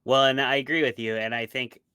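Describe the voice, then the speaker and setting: A man's voice: medium pitch, fairly even intonation, a little fast, pushed and bright, smooth, no room sound. A man talking casually as an interview guest, giving his opinions and explaining them with examples. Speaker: interview guest, author and podcast co-host. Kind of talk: casual conversational discussion.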